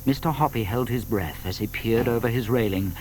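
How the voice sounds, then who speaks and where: A man narrating a children's story from a script, with deliberate emphasis. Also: mid-range voice, noisy background.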